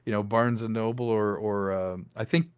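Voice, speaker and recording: A man's voice: lowish voice, moderate pace, smooth, dry recording. A director and writer in a casual interview, talking about his audio drama and slipping into voiced quotes. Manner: animated, slips into voiced quotes, laughs between thoughts.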